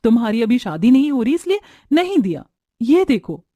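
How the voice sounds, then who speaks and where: Woman as a high-voiced caring sister-in-law. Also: big pitch swings, normal speed, warm tone, no echo. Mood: reassuring. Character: generous, caring young elder sister-in-law.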